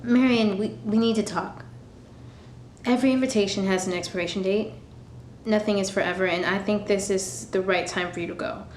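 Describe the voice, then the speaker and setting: A woman's voice: mid-range voice, fairly even intonation, a little fast, a little mellow, close to an everyday voice. A woman playing an exasperated host standing her ground in a radio thriller, firm, terse and indignant. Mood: uneasy, firm. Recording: echoey room, faint background noise.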